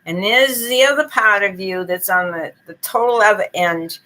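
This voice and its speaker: A woman's voice: medium pitch, moderate pace, bright, forceful tone, poor audio. A woman with a Boston accent giving chatty, long-winded tarot readings on a live stream. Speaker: psychic reader.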